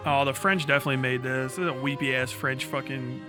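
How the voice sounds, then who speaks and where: A man's voice: mid-range voice, fairly even intonation, quick pace, music in the background. A man live-streaming, chatting casually with viewers in a rambling, jokey, sweary way. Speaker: livestreamer.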